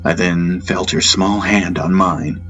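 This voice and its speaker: Low-voiced man narrating fantasy, solemn. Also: steady tone, takes it slowly, lo-fi sound, over loud music. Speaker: audiobook narrator. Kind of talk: dramatic scripted fantasy narration in first person.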